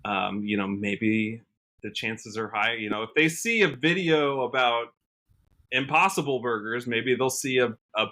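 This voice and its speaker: An American man chatting casually as an interview guest, earnest and halting, often rephrasing as he goes. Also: medium pitch, pushed and bright. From Washington state, USA. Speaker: podcast guest, country singer.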